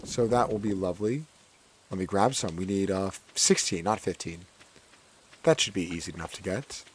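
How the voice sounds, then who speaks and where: A man with a hoarse, cracking voice chatting casually while playing a farming game, often repeating his own phrases. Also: sits low, moderate pace, slight background noise, rough recording. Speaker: gaming streamer. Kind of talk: casual gameplay commentary.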